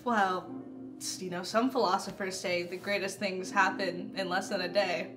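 A woman's voice: medium pitch, steady tone, normal speed. A young woman playing a tabletop game, casual and playful, with a joking, giggly manner. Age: young adult. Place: indoor studio set. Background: music.

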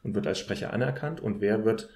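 A man speaking as an expert guest, analysing in deliberate, academic phrasing. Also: on the low side, a little mellow. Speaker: guest expert, political scientist. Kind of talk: analytical discussion.

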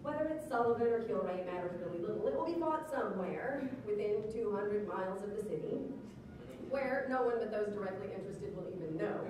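High-voiced woman reading in an echoey hall. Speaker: reader at a live literary reading. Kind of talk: theatrical reading aloud of old newspaper articles. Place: museum lecture hall with live audience. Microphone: stand microphone on stage, distant camera recording. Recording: echoey, noisy hall recorded from far off.

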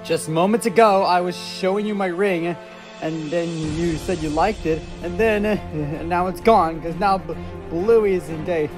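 A woman's voice: lowish voice, measured pace, music in the background. A girl voicing a lovesick boy plush character, rambling with many fillers. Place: home bedroom.

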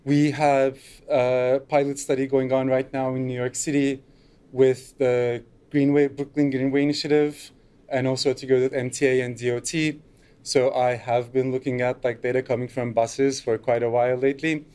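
A man's voice: mid-range voice, flat delivery, moderate pace, a little mellow, smooth. A middle-aged man from Turkey giving a conference talk at a lectern, loose and unscripted, with frequent fillers. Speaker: conference speaker. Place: lecture room, at a lectern.

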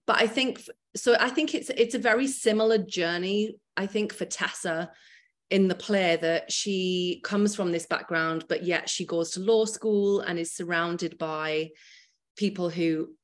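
A woman's voice: lowish voice, unhurried. A young woman with a Teesside English accent, chatting casually on a video call interview, with many fillers and restarts. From Teesside, Northeast England. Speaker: actor, guest. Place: small room indoors. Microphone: laptop webcam, video call.

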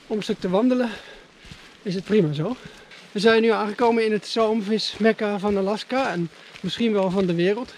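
A man's voice: high-pitched, a little mellow, slight background noise. A man talking casually to the camera outdoors on a road trip, chatty and hesitant with fillers. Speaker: travel vlogger. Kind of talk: casual travel vlog talk to camera. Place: outdoors by a river.

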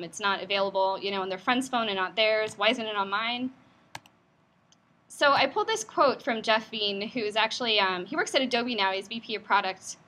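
A woman's voice: mid-range voice, sharp tone. A woman presenting at a meetup in an office, talking in a friendly, upbeat, casual way with frequent fillers and asking the audience questions. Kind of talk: conversational tech talk. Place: company office meetup room.

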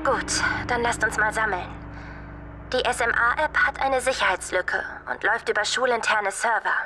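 An actress playing a sharp-witted, bossy teenage schoolgirl in an audio drama, talking in a direct, pointed way. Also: on the high side, a little slow, background noise, natural voice. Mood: businesslike.

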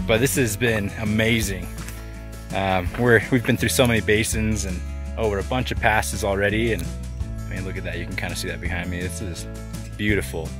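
A tired man in his thirties with a lowish voice chats to a camera held at arm's length on a hike. Faint music sits behind him. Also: fairly even intonation, moderate pace. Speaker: hiker. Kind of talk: casual selfie vlog. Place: outdoors in open mountains.

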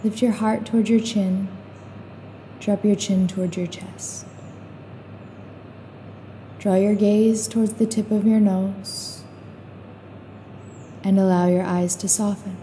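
Slow, very mellow woman guiding meditation. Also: medium pitch, a little hiss or hum. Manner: calm, soothing, unhurried with long pauses. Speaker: meditation guide. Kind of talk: guided meditation, slow calm instruction.

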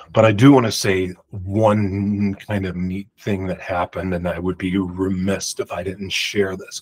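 A man in his forties telling a rambling personal story to camera in a room at home, talking emphatically and projecting loudly. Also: low-pitched, slightly rough, low-quality recording. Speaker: vlogger. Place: home room with pictures on the walls. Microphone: large studio mic beside him.

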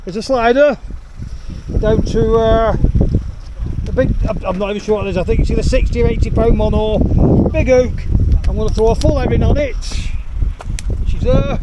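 A man's voice: very high-pitched, moderate pace, pauses often. A man hosts a casual outdoor fishing vlog, chatting to viewers in a jokey, playful, slangy way. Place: outdoors on a sea breakwater at night. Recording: noisy outdoor recording on a waterside.

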